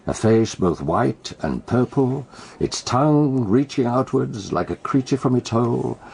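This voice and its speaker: A man with a British accent acting in a radio drama as a brooding, enigmatic storyteller who recounts crimes with deliberate, theatrical phrasing. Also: medium pitch, lively intonation, vocal fry, darkish tone, slightly rough, exaggerated voice, rough recording. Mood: suspenseful.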